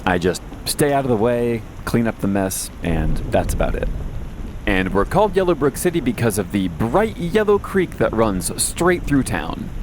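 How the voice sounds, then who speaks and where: A man playing a wry, anxious post-apocalyptic radio host in an audio drama, rambling casually with deadpan, self-deprecating humor. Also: medium pitch, moderate pace, noisy background, natural voice. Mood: chatty. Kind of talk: conversational audio drama monologue, answering listener messages.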